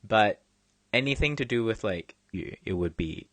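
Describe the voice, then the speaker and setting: Low-voiced young man, dry sound. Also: lively intonation, fairly bright tone, dry recording. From China. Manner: halting, many restarts, laughs easily. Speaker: podcast co-host. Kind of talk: casual podcast chat.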